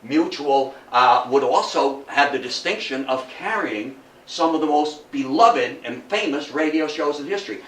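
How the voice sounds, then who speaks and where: A man's voice: on the high side, animated, moderate pace, few pauses, a bit bright. A man with an American accent giving a history lecture to an audience, speaking emphatically, repeating phrases for effect and putting questions to listeners. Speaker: guest lecturer. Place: indoor room in front of an audience. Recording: roomy, distant mic, faint background noise.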